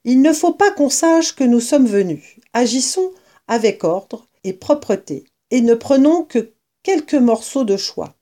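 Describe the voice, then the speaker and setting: A woman's voice: a little high, expressive, a little slow, mellow, pitched above the performer's own voice. Woman doing a jovial scheming fox dad. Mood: greedy delight. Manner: exuberant, commanding.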